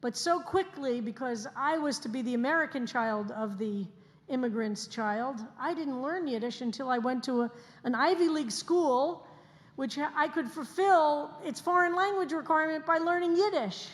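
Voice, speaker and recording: Woman in her 60s preaching in a reverberant hall. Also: fairly high pitch. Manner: earnest, slows down to stress key phrases. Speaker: rabbi. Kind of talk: sermon to a congregation. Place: synagogue sanctuary, livestreamed service.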